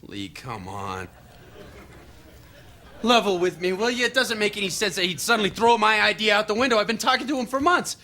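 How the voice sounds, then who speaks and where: Fast, high-strung screenwriter brother. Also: male voice, sing-song, pushed and bright, exaggerated voice. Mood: anxious, pleading. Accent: American. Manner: exasperated, insistent questioning. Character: uptight, indignant screenwriter younger brother, anxious and educated. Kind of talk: filmed stage play acting.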